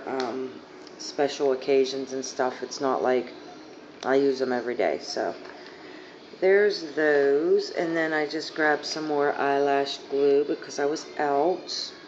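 A young woman with a hoarse, fading voice rambling casually through a makeup haul, full of fillers, filmed on a phone on her living room couch. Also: low voice, unhurried, a little mellow. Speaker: beauty vlogger. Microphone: phone camera at arm's length. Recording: dull lo-fi phone audio, faint hiss.

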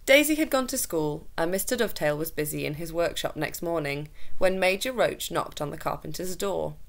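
A young woman with a slightly low voice reads a children's story aloud. Her narration is expressive, and she is recorded at desk distance in a small room. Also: normal speed. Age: young adult. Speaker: teacher reading a story aloud. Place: small plain room indoors.

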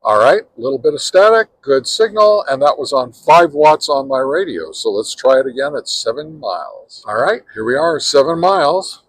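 An older man in his sixties hosts a laid-back radio-testing vlog. His voice sits at an ordinary middle pitch, and he talks in a relaxed, halting way, pausing and restarting often. Between chats he counts off test calls in a steady rhythm. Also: breathy edge, low-quality recording. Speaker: ham radio channel host.

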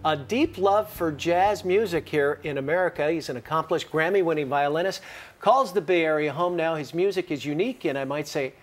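A man's voice: a little high, brisk. A middle-aged man hosting a broadcast interview in a television studio, speaking into a lapel mic. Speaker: TV host.

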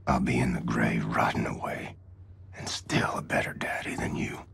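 Gravel-throated whispering hard man. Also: extremely low pitch, flat delivery, normal speed, heavily acted. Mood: bitter, menacing. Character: menacing, bitter hardened man muttering. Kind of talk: dramatic video game acting.

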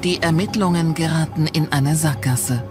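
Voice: medium pitch, normal speed. True crime narrator over prominent music. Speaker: documentary narrator. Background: prominent background music.

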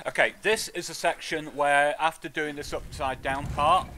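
A man's voice: a little high, fairly even intonation, moderate pace, bright, forceful tone. A man giving casual live gameplay commentary as he streams a game. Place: indoor room, webcam stream. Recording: game noise behind the voice.

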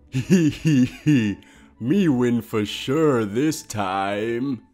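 A man voicing a big, friendly, slow-witted Saint Bernard in a comic dub, with childlike grammar. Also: medium pitch, expressive, darkish tone, soft background music. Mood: simple, happy.

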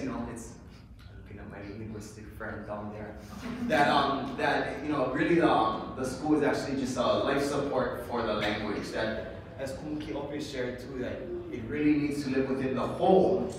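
A man's voice: medium pitch, a little hiss or hum. Guy on a panel talking into a handheld mic, lots of um, super echoey big room, far off. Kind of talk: panel discussion talk. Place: large hotel meeting room. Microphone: handheld mic, recorded by a far camera.

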